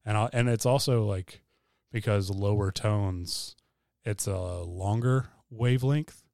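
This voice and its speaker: A soft-spoken man co-hosting a casual podcast chat, laid-back with dry humor. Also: on the low side, unhurried, dry recording. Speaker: podcast co-host. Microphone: studio mic.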